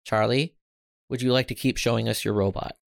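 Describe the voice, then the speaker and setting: A kind schoolteacher who calms her class with gentle words, as a man puts her on. Her voice sits higher than his own, with a gravelly edge and a dry, close sound. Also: a little fast, dry recording, close to an everyday voice. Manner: gentle, reassuring. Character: kind patient woman schoolteacher.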